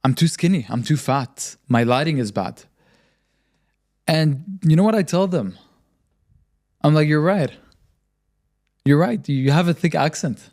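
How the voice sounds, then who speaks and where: A man's voice: fairly high pitch, lively intonation, a little slow, long pauses, darkish tone. A young man hosting a podcast, giving confident advice in a conversational explanatory way. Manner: confident, explanatory, frequent emphatic repetition. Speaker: podcast host and marketing agency founder.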